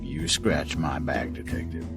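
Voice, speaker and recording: A man's voice: extremely low pitch, unhurried, creaky, full character voice. An actor playing a sly male bargainer in a horror game, with a drawling, insinuating manner. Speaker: video game character. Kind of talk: dramatic video game acting. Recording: music behind the voice. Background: music.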